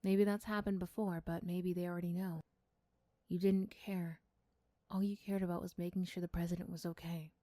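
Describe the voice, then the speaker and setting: A soft-spoken woman giving scripted second-person story narration in an ASMR roleplay style. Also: lowish voice, little pitch movement, normal speed, dry recording. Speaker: storyteller and voice performer.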